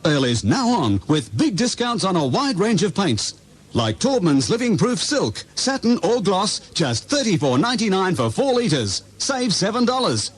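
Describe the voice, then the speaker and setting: An Australian man delivers a hard-sell radio ad. His high, husky voice swings dramatically up and down as he announces the offers. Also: sing-song, normal speed. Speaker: retail advertisement announcer.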